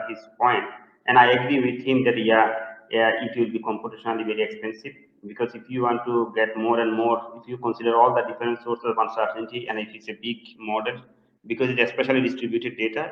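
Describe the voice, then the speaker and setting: Man from Bangladesh, halting with fillers, roomy echo, dull lo-fi webinar audio. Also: mid-range voice, flat delivery. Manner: hesitant, many fillers and restarts. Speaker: presenting researcher answering questions. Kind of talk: webinar Q&A answers. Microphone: video call. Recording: echoey, dull lo-fi call audio.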